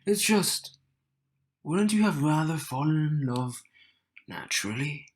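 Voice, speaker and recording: A man's voice: on the high side, animated. A man playing a cocky, wry and slightly bitter young man in an intimate audio roleplay, teasing and smug as he speaks to the listener. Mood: wistful. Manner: slow, teasing, smug, with sighs and soft chuckles.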